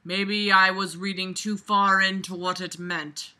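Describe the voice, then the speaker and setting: Bright, forceful, exasperated reader-commentator. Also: medium pitch, measured pace. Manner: exasperated, sarcastic, blunt and swearing; animated, emphatic, jumps from muttering to loud outbursts. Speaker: reader reviewing a book aloud. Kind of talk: casual running commentary while reading a novel aloud.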